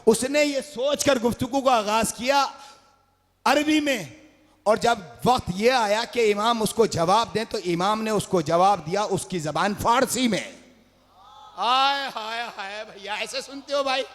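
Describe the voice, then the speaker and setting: A man with an Urdu accent delivering a majlis sermon, rising to shouts and sung phrases as he calls out to the gathering. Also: high voice, unhurried. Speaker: majlis orator. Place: large religious gathering hall. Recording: long hall reverb.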